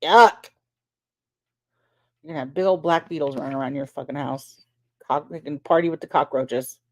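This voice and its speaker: A woman's voice: low voice, moderate pace, a little mellow, dry recording. A woman co-hosting a livestream, talking in a sarcastic, profane, squeamish way. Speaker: livestream co-host. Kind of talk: casual livestream banter.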